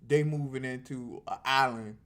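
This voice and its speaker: A man in a casual two-person review chat, speaking at a middling pitch. He is excitable and exclaims freely. He uses slang and repeats phrases to drive a point home. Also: normal speed. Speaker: comic book review co-host.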